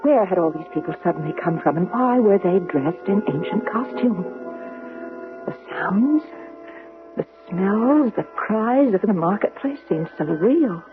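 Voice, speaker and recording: A woman's voice: medium pitch, animated, measured pace, music in the background, lightly acted. An actress playing a bewildered, insistent woman tourist in an old-style radio drama, with a dramatic, emphatic delivery. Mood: dazed, disbelieving. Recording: narrow-band, noisy radio broadcast recording.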